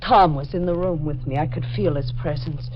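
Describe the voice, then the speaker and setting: An actress playing a tormented, haunted wife, with intense, dramatic delivery in 1940s radio drama style. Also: female voice, low-pitched, moderate pace, background noise, close to an everyday voice. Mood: haunted, somber. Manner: dramatic, intense, clipped phrases. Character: tormented, haunted wife telling her dark story. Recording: muffled vintage 1940s radio recording.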